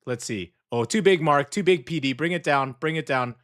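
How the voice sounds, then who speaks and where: A man's voice: a little high, moderate pace, a bit bright. A middle-aged man hosting a casual radio show from a studio, upbeat and jokey, rambling loosely as he chats.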